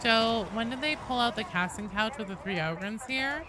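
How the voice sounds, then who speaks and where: A woman's voice: on the high side, normal speed. Sweary woman game streamer over background noise. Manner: rambling, sweary, self-deprecating. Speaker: game livestreamer. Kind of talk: casual gaming stream chat and commentary.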